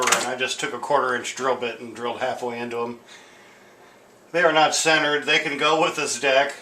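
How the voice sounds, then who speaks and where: A man's voice: medium pitch, sharp tone. A man giving a casual, unscripted demonstration in an informal, hesitant, explanatory way, as someone who restores machines. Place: indoor room at home. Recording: roomy, echoing sound with hiss.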